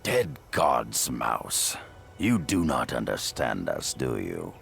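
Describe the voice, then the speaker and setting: An actor playing a grim, proud and vengeful beast-warrior rebel leader in a video game, with a deep gravelly growl and a terse, commanding delivery. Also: male voice, big pitch swings, slow, fairly bright tone, a little hiss or hum, exaggerated voice. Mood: grim, measured. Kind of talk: dramatic video game acting.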